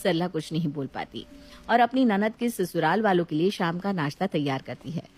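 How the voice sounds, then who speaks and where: A woman's voice: on the low side, expressive, moderate pace, a little mellow, soft background music. A woman storyteller reading scripted narration of a moral family story.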